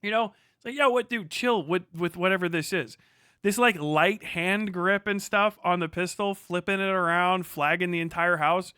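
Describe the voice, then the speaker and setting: High-pitched, bright American man, sarcastic streamer. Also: moderate pace, clear, resonant tone, dry recording, studio quality. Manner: sarcastic, profane, incredulous. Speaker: livestream host reacting to a video. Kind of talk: casual reaction commentary.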